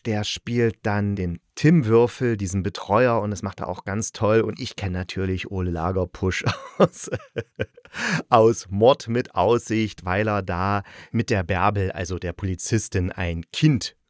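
A man's voice: lowish voice, steady tone, unhurried, no echo, clean studio sound. A man giving a casual spoken review as a reviewer, talking in an enthusiastic, informal and rambling way. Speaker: radio play reviewer.

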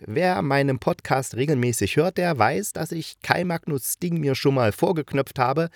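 A man's voice: mid-range voice, darkish tone, no room sound, studio quality. A man hosting a podcast solo, talking casually in a playful, self-ironic, rambling way as he reviews. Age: adult. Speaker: podcast host reviewing audio dramas.

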